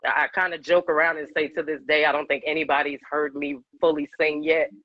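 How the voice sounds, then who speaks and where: A woman in her forties, a singer-songwriter guest with a deep voice, chatting animatedly and laughing often in an interview over a video call. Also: level tone, a little fast, dry recording, muffled recording. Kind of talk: casual interview chat. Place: home room, video call. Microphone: webcam on video call.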